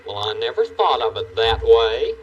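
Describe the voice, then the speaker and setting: A man's voice: medium pitch, very animated, moderate pace, recorded from a distance, highs cut off, a little hiss or hum, close to an everyday voice. A man playing a friendly, eager, curious puppet neighbor on a children's show, full of folksy exclamations. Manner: folksy exclamations, boyish enthusiasm.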